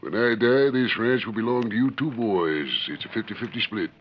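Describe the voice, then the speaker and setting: A man's voice: on the low side, animated, moderate pace. An actor playing a stern, fair-minded older ranch owner and father in a 1940s radio western, speaking firmly and plainly. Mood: stern, fatherly. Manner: firm, commanding, plain-spoken. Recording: muffled, noisy old 1940s radio recording.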